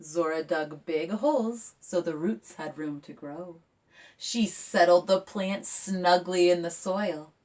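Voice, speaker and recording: A woman children's author talking and reading a picture book aloud for young listeners in an expressive, engaging way, as if asking them along. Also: lowish voice, slow pace, far from the mic.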